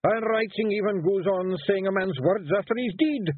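A man's voice: sits high, moderate pace, breathy edge, dry recording, phone-quality sound, pitched above the performer's own voice. A man voicing a fierce, stubborn tiny pixie chief with a broad Scottish accent and a growling bluster. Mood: grumbling.

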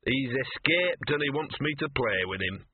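A man's voice: medium pitch, big pitch swings, normal speed, slightly rough. A man voicing a cynical, streetwise talking dog in a storybook, grumbling and colloquial. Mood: disgruntled. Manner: grumbling, colloquial, world-weary. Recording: muffled radio-like narrow band, roomy.

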